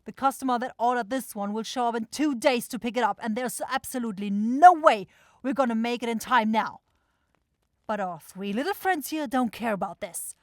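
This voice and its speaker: A woman playing an irritable, sharp-tongued demon shopkeeper in a scripted fantasy ASMR roleplay, blunt and exasperated. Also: fairly high pitch, moderate pace, no room sound, clean studio sound, lightly acted. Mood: exasperated, bitter. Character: grumpy, sarcastic demon goat-eyed shopkeeper sister, sharp-tongued.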